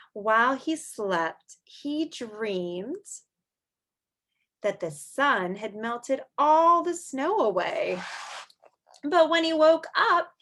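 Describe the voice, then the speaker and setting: A woman's voice: high-pitched, fairly bright tone. A woman reading aloud to young children like a teacher, drawing out the story words expressively and adding chatty asides and questions.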